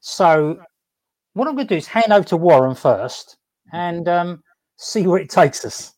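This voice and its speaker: A man hosting a casual live stream, upbeat and laughing often, talking haltingly with many fillers and restarts and asking "okay?" to check in. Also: high voice, animated, a little mellow, low-quality recording. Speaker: host.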